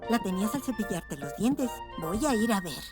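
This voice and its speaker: A woman's voice: mid-range voice, big pitch swings, moderate pace, natural voice. Woman as a soothing, lilting mother rabbit. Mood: reassuring. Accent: Latin American Spanish. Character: gentle, reassuring adult mother rabbit. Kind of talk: children's cartoon dub acting. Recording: loud music behind the voice.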